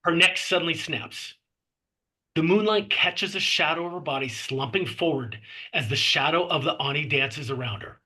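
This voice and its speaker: A man with a very bright, sharp voice, pitched a little high, narrates a screenplay. He reads stage directions and scene headings in scripted style. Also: moderate pace. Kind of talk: scripted narration at a table read.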